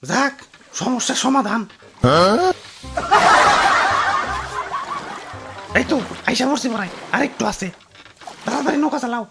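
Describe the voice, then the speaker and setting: A performer voicing an excitable, power-hungry cartoon schemer in colloquial Bangladeshi speech, exclaiming and repeating phrases. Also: medium pitch, a little fast, creaky, raised voice, loud music behind the voice, natural voice. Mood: matter-of-fact. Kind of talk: Bengali comedy cartoon dubbing.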